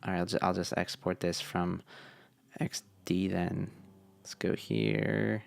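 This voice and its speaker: Low gravelly man thinking aloud. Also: slow, no echo. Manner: relaxed, thinking aloud with fillers. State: tired voice from long meetings. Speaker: web developer. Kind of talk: casual coding tutorial.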